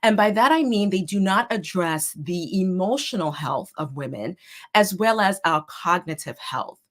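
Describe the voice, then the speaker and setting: A middle-aged woman, a therapist and life coach, giving a steady, earnest talk with a slightly hoarse voice. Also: on the low side, moderate pace. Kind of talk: prepared talk to an online group.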